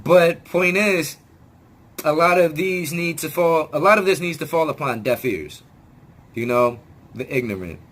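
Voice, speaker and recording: A man talking straight to his viewers in a rambling self-help vlog, often restarting words and swearing casually, his voice still hoarse as it comes back. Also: fairly high pitch, unhurried, fairly bright tone. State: hoarse, regaining lost voice. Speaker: video channel host.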